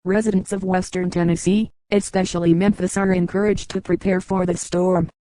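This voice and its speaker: A woman's voice: fairly low pitch, little pitch movement, normal speed, mellow, dry recording. A woman reading a scripted breaking news report as an announcer.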